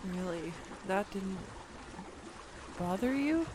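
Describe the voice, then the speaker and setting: A woman's voice: mid-range voice, expressive, slow, background noise. A woman playing a clumsy, giggly, self-deprecating girlfriend on a date, in an intimate one-sided roleplay audio drama. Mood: shy, confiding. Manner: flustered, self-deprecating, chatty with bursts of laughter.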